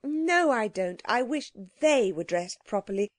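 An imperious ancient queen, as a woman narrator plays her. She speaks high and commandingly, with haughty swoops, as if every listener were a servant in her storybook court. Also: expressive, measured pace.

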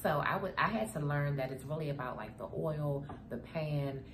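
Low-voiced, chatty, stuffy woman vlogger. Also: normal speed. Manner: chatty, talks to viewers as "girl". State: stuffy nose. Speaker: vlogger answering viewer questions. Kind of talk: casual chat, storytelling. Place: indoor room, seated before framed print. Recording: echoey room with background noise.

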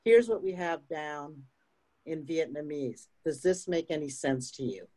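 A woman's voice: sits low, animated, unhurried, mellow. Older lady union rep on a video call, emphatic, keeps saying right?. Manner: emphatic, tags points with right?. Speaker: union representative. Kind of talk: panel discussion.

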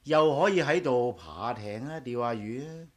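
A man's voice: medium pitch, expressive, moderate pace, close to an everyday voice. A man with a Hong Kong Cantonese accent playing an easygoing, boastful engineer friend in a 1980s radio drama. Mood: breezy, boastful.

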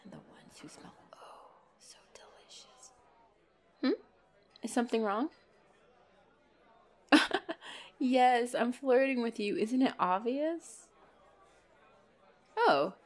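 A woman's voice: fairly high pitch, animated. A woman voicing a flirtatious, teasing female vampire in an intimate ASMR roleplay, playful and giggly with a hungry undertone. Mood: flirty, coy. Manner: playful, giggly, slow and coy, dropping into breathy whispers. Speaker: roleplay voice performer. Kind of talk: ASMR roleplay, intimate one-sided dialogue.

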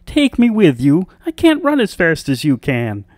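A man's voice: high voice, very animated, normal speed, a little mellow, dry recording, studio quality, pitched above the performer's own voice. A man voicing a small male frog in a fairy tale, courteous, pleading and insistent. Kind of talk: storybook character voice.

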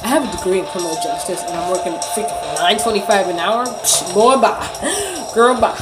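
Casting: woman, 30s, medium-pitched voice, casual vlog rant, emphatic and aggrieved, slangy delivery. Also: lively intonation, normal speed, over loud music. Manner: indignant, emphatic, slangy venting with mimicked quotes. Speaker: vlogger. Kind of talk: casual storytelling rant to camera. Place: small bedroom, indoors. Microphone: phone at arm's length.

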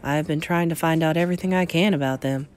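A woman voicing a tender, frank grandmother in her eighties, measured and gentle, in storybook dialogue. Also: sits low, soft background music, close to an everyday voice. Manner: measured, gentle, matter-of-fact. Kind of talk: acted children's storybook dialogue.

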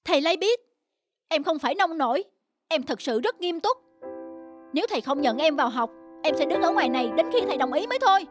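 Cartoon student lad: high, pleading, stubbornly sincere. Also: female voice, expressive, fast, pauses often, music in the background. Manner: pleading, earnest. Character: earnest, stubborn young male student chasing his passion. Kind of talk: animated cartoon dubbing.

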